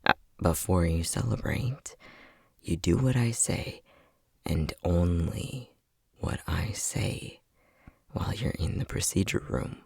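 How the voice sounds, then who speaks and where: A man's voice: low-pitched, slow pace, creaky, husky, full character voice. A man playing an unhinged, bossy scientist who teases and condescends in a sardonic ASMR roleplay. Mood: weary, bossy. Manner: sardonic, teasing, condescending, with frequent sighs.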